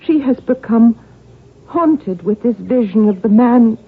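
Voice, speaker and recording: An actress playing a devout, earnest young noblewoman, measured and theatrical, narrating and acting in a radio drama. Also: a little high, expressive, husky, narrow, tinny audio, heavily acted. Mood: earnest, troubled. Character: devout, earnest young Irish noblewoman telling her family's story. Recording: band-limited old radio with music.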